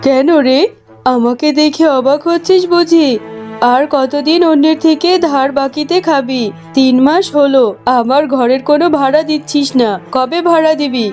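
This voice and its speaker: A woman's voice: high voice, fairly even intonation, normal speed, a little mellow, close to an everyday voice. A woman voicing a bossy tigress landlady in a cartoon, harsh, scolding and demanding. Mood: angry, scolding. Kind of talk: children's cartoon voice acting. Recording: music under the voice.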